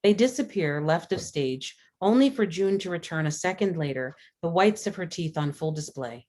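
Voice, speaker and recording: Low-pitched woman reading screenplay stage directions. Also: expressive, normal speed, a little mellow. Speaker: stage directions reader at a script table read. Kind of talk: scripted narration of screenplay action.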